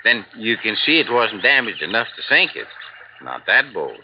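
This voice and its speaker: A man's voice: lowish voice, big pitch swings, normal speed, slight background noise. An actor playing a folksy, stubborn old desert fisherman in a 1950s radio drama, drawling and hesitant, heard through a dull old recording.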